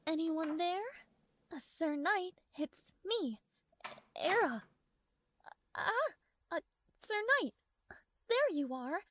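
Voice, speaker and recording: Woman acting as a high-voiced, haughty young princess. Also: expressive, takes it slowly, long pauses, a bit bright, slight background noise, heavily acted. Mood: flustered, imperious. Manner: haughty, formal, flustered hesitations. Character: proud, strong-willed tsundere young princess, guarded but fond. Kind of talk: scripted romantic audio roleplay addressed to the listener.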